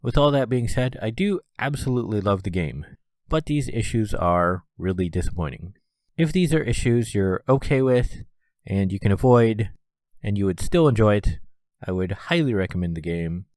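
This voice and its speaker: A man's voice: fairly low pitch, lively intonation, normal speed, a little mellow, dry recording. A man with a congested, stuffy nose hosting a casual solo podcast, rambling with plenty of "uh" and "you know". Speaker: podcast host.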